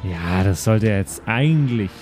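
A man's voice: lowish voice, expressive, normal speed, a little mellow, soft background music. A man talking casually as a player at an improvised role-playing game. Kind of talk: casual table talk.

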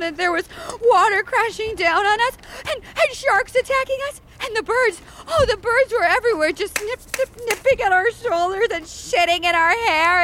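A self-dramatizing, overwrought woman. Her voice is very high and emphatic, in comic audio-drama style, with faint noise behind it. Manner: melodramatic, emphatic. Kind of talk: comedic audio drama acting.

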